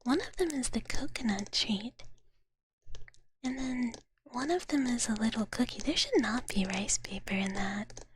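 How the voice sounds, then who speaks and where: Softly whispering woman, girlish ASMR streamer. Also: on the high side, animated, unhurried, slight background noise. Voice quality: soft, breathy whisper. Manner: girlish cutesy talk, refers to herself in third person. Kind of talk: whispered ASMR casual chat with live chat. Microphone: close ASMR microphone.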